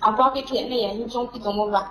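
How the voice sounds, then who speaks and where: A woman's voice: a little high, steady tone, very fast, sharp tone. A woman talking informally in a recorded phone conversation. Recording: echoey recorded phone call.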